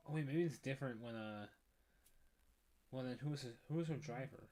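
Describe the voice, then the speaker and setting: A man's voice: mid-range voice, normal speed, a little mellow. A man chatting casually as he plays a video game, laughing often and rambling in asides. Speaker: let's play streamer. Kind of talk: casual gameplay commentary. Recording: game music under voice. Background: game music.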